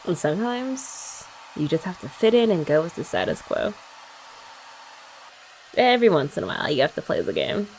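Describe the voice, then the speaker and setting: A woman's voice: lowish voice, sing-song, normal speed, long pauses, a little mellow, a little hiss or hum, poor audio. A young woman in an ASMR girlfriend roleplay, chatting in a playful, teasing way.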